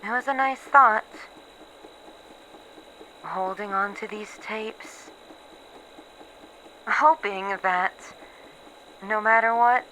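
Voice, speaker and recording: Slow and halting, this is an actress as a despairing woman researcher recording a horror roleplay log. Her voice is bright and tense, with a bitter, self-mocking edge. She sounds resigned to her doom, over faint background noise. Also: mid-range voice, low-quality recording.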